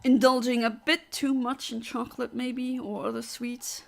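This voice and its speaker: A woman's voice: a little high, level tone, normal speed, vocal fry. A woman from Europe, a live streamer, chatting casually and answering her viewers' comments aloud while she plays.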